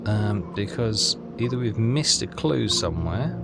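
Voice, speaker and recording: Low-voiced man thinking aloud over game music. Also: animated, a little slow, creaky. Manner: hesitant, repeats himself, thinking aloud. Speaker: let's player. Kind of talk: casual game walkthrough commentary, reading text aloud.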